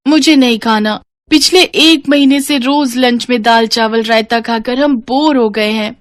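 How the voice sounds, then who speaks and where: Casting: woman as picky, complaining kid, raised pitch, whiny, children's cartoon tale. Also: unhurried, no echo, lightly acted. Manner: whining, complaining. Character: whiny, fussy school-age child. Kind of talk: Hindi moral story cartoon voice acting.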